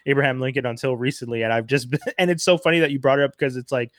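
A man hosting a casual interview who talks in a halting way, often restarting sentences and agreeing along. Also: mid-range voice, fairly even intonation, fairly bright tone, no room sound, studio quality. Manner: chatty, halting, quick to agree and laugh. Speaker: podcast host, interviewer.

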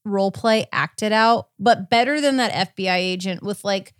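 A woman's voice: mid-range voice, moderate pace, no echo, clean studio sound. A woman co-hosting a podcast in casual, conversational chat. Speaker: podcast co-host.

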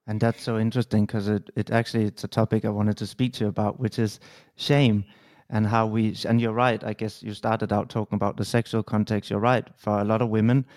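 A man's voice: lowish voice, little pitch movement, fairly quick, a little mellow, no room sound. A man hosting an interview. He speaks reflectively and recounts personal anecdotes with quoted dialogue. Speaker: host. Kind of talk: conversational interview.

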